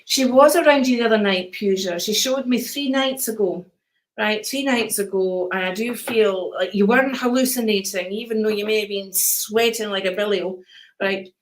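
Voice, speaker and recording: An older Scottish woman hosts a live card-reading stream, chatting easily with her viewers. Her voice is calm and soothing, and she keeps slipping in endearments like darling and sweetheart. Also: medium pitch, moderate pace, rough recording.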